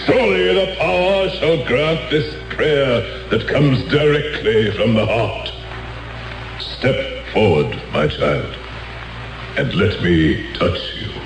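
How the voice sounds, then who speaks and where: A sonorous cult preacher. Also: male voice, mid-range voice, very animated, reverberant, over music, low-quality recording. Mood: solemn. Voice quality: slow, sonorous, declamatory delivery. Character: charismatic male cult leader, grandly solemn faith healer. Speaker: preacher healing before followers. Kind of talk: 1970s radio drama acting.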